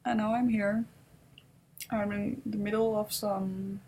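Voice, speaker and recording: A woman in her twenties with a Dutch accent, talking softly and hesitantly in an unscripted confessional vlog recorded on a webcam. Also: medium pitch, flat delivery, takes it slowly, slightly rough. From Netherlands. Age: 20s. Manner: soft-spoken, hesitant, self-doubting. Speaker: vlogger.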